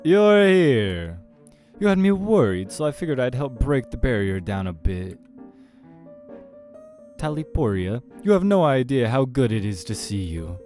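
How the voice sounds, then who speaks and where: A man's voice: medium pitch, sing-song, a little slow, a little mellow, soft background music, pitched above the performer's own voice. A man voicing a doting, cheerful and fussy immortal boyfriend in a horror game. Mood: delighted. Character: gushing, lovestruck immortal boyfriend, cheerful and unfazed.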